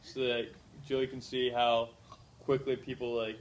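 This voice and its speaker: A man's voice: mid-range voice, moderate pace, slight background noise, low-quality recording. A man telling a story to friends in casual chat, acting out the people he quotes and often restarting his sentences. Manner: animated, acts out quoted voices, restarts often.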